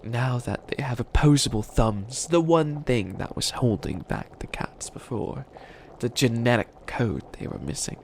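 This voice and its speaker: Man playing a theatrical, creaky-voiced bumbling witch. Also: medium pitch, animated, a little slow, darkish tone, slight background noise. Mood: conspiratorial plotting. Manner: theatrical, gleefully scheming, rambling. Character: bumbling, dramatic bunny witch who thinks himself evil. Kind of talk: comedic ASMR roleplay.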